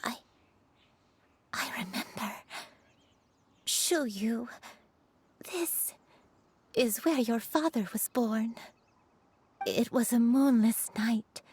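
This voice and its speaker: A woman voicing a frail, gentle old grandmother in a dramatic video game, telling her story in a tender, halting, breathy way. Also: a little high, slight background noise. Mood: haunted, solemn.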